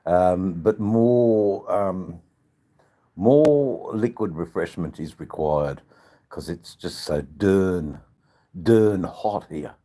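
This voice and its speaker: An older man with an Australian accent and a husky voice, chatting casually to livestream viewers in a rambling, drawn-out, joking way. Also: low voice, warm tone. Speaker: livestream channel host. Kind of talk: casual live chat with viewers.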